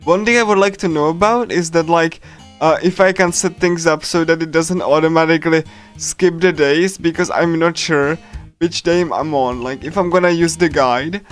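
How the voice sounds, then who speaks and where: A man livestreaming a game, casually commenting and reading aloud in a halting way, often repeating himself and stumbling. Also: on the high side. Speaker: streamer. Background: game music.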